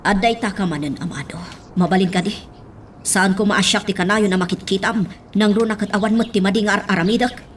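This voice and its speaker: A woman's voice: medium pitch, brisk, slight background noise, natural voice. An actress playing a stern lady of the household in a radio drama, with a pointed, probing manner. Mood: weary, displeased.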